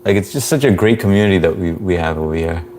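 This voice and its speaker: Deep, monotone man hosting a live stream. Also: fast, a little hiss or hum. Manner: laid-back, reads chat aloud, chuckles often. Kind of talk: casual live stream chat reading comments.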